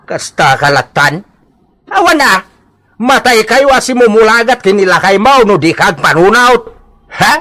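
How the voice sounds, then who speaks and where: A man playing a stern old Ilocano father in a radio comedy drama, admonishing with drawn-out questions. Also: high voice, sing-song, bright, forceful tone, heavily acted. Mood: scolding. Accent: Ilocano Filipino. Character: stern, scolding old father disapproving of gambling.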